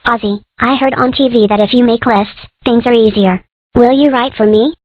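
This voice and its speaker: A woman's voice: fairly high pitch, fairly even intonation, moderate pace, no echo, natural voice. A woman voicing a naive, earnest, clueless little child in a comic dub. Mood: earnest, pondering. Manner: simple, naive. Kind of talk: amateur comic strip dub. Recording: slightly dull lo-fi audio.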